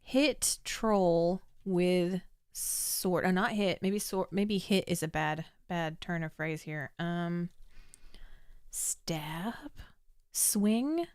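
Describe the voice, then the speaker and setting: A young woman streaming a text adventure, chatting as she reads the game's room descriptions aloud in a measured storytelling way. Her voice is slightly hoarse, as if she is losing it. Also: lowish voice, darkish tone, no echo, studio quality. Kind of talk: casual game commentary, reading game text aloud.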